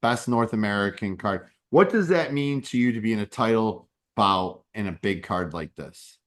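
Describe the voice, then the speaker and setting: A man's voice: fairly low pitch, expressive, moderate pace, a bit bright. A man hosting a casual MMA podcast interview who asks long, hyped-up questions and often stumbles and repeats words. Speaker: podcast host.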